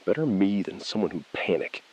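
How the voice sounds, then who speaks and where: An actor playing a coolly complicit male bank insider in a scripted audio thriller, measured, evasive and precise. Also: low voice, animated, darkish tone, breathy edge, highs cut off. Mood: controlled.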